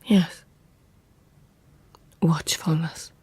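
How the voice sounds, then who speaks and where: Husky, low-voiced court lady, intimate and guarded. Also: fairly even intonation, very slow, exaggerated voice. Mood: watchful, musing. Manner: intimate, hushed, often breaking into whispered inner narration. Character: devout, passionate lady-in-waiting of an imperial court, watchful and guarded. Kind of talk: literary radio drama acting.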